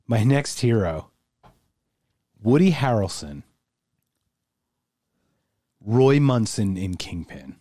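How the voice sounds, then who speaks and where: A man's voice: fairly low pitch, expressive, extremely slow, pauses often, dark tone, no room sound. A man co-hosting a podcast in casual chat, crude and rambling, often laughing as he talks. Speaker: podcast co-host.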